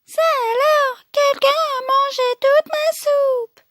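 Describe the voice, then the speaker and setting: A woman voicing a little male bear cub from a children's storybook, crying out in a tiny, thin, excitable voice. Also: very high-pitched, takes it slowly, slight background noise, pitched above the performer's own voice, exaggerated voice. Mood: upset, crying out. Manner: exclaiming, crying out.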